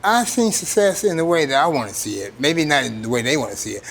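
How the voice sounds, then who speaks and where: Man, slightly high voice, workshop trainer, plain-spoken, informal, repeats key phrases. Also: big pitch swings, fast talker, gravelly, a bit bright, a little hiss or hum. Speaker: trainer, program coordinator.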